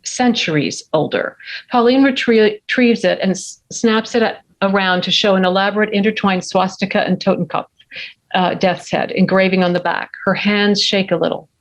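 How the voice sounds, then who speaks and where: A woman's voice: medium pitch, moderate pace, rough recording. A woman reading scene headings and action lines as narrator at a script table read. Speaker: stage direction narrator.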